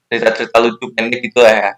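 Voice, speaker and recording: A man's voice: medium pitch, level tone, quick pace, fairly bright tone. Curious man asking questions. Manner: friendly, curious, repeats and sums up answers. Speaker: interviewer, host. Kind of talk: casual interview.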